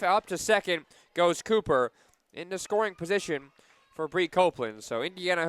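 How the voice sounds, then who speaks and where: A man with a fairly high, very clean voice calls softball on the radio. He keeps a measured play-by-play flow and lifts it for big plays. The sound is close and dry. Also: clear, resonant tone, no room sound. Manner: excited on big plays, measured between them. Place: live at a softball game.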